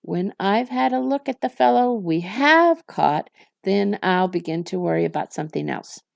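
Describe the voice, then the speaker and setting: A woman's voice: mid-range voice, normal speed, gravelly, no echo, clean studio sound. A woman voicing an irritable, growling male orc captain from a fantasy storybook, blustering and snarling. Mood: gruff, impatient.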